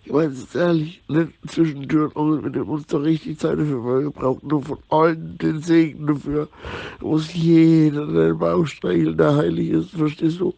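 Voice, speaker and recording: A middle-aged man talks in a loose, repetitive, slangy ramble. His voice is fairly high for a man, with a dark, mellow tone and plenty of gravel. The recording is dull and lo-fi. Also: fairly even intonation, normal speed, no room sound. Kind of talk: rambling informal monologue.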